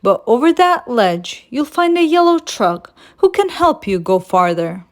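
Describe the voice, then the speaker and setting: A woman's voice: on the high side, very animated, measured pace, pitched above the performer's own voice. A woman voicing a big, friendly male train in a children's storybook, hearty and patient. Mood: hearty, welcoming.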